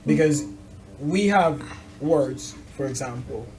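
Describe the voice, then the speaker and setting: A man's voice: mid-range voice, lively intonation, slow, a little mellow, recorded from a distance, poor audio. A man interviewing a guest in casual conversation. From Jamaica. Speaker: interviewer, podcast host.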